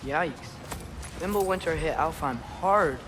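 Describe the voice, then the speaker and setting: A man's voice: fairly high pitch, measured pace, noisy background, natural voice. An actor playing an earnest, curious teenage boy adventurer in a video game, with casual, modern phrasing. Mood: matter-of-fact. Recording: music under the voice.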